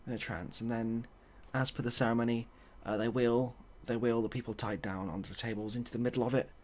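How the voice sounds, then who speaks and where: A man with a soft, husky voice makes up a story as he goes. He rambles hesitantly, with dry, self-deprecating humour. His pitch sits in the middle for a man. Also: fairly even intonation, fast talker. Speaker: ASMR video host. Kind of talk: soft-spoken improvised storytelling.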